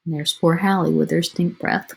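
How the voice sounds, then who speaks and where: A woman with a fairly low voice reads a children's picture book aloud. She tells it expressively, as a teacher would, and speaks to her young listeners in simple, chatty asides. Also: steady tone, normal speed, gravelly, a little mellow, rough recording. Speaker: elementary school teacher reading aloud.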